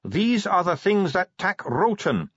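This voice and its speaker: A man voicing an earnest, scholarly dwarf translator in an audiobook, reciting ancient lore in slow, archaic, declamatory phrases. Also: high voice, big pitch swings, fairly bright tone, breathy edge, no echo, dull audio, voice pushed up, heavily acted. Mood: urgent, proclaiming.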